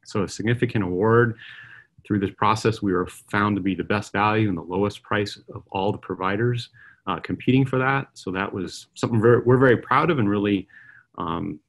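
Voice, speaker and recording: A middle-aged man presents a conference keynote as an aerospace executive. His voice sits low and has a gravelly fry. He talks in a measured way, often pausing on uh and um as he moves through his points. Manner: measured, with frequent uh and um fillers.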